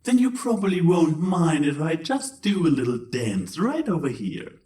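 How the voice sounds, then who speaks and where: A man's voice: on the high side, big pitch swings, moderate pace, mellow, reverberant. A man playing a smug, accusing male changeling who gloats theatrically in a dramatised story reading. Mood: gloating. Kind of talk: dramatised fan fiction reading.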